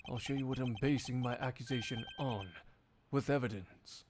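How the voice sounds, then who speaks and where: A man voicing a stern, composed prosecutor in a game fan dub, formal, deliberate and accusing. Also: medium pitch, fairly even intonation, a little hiss or hum, pitched below the performer's own voice, close to an everyday voice. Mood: confident.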